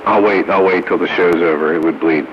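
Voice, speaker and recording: Male radio operator, deep register, casual talk, clearly noisy transmission. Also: fairly even intonation, fairly quick. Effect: two-way radio transmission. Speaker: radio operator in a group chat. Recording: muffled, noisy two-way radio.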